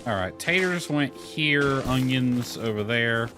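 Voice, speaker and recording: A man streams a game in a casual, chatty way, talking through each small task as he goes. His voice sits at an average male pitch. Soft music plays underneath. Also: expressive, slow. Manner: chatty, thinking aloud while playing. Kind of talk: casual livestream gameplay chat. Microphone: headset.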